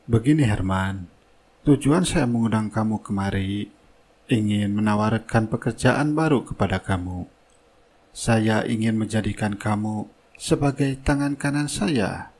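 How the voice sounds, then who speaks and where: A man playing a wealthy, fatherly company boss in a cartoon drama, speaking formally with calm authority. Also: on the low side, measured pace, slight background noise. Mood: assured, generous.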